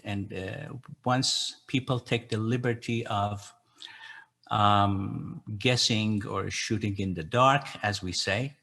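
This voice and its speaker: Older man, native Persian speaker, low pitch, very slow halting delivery, reflective educator explaining to an audience. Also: fairly bright tone. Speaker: educator and translator leading a poetry gathering. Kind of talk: informal explanatory talk to an audience.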